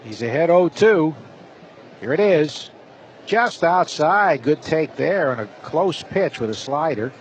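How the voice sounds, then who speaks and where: A man's voice: a little high, lively intonation, measured pace. A man doing live play-by-play commentary as a baseball announcer. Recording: clear outdoor ballpark noise. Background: outdoor stadium ambience.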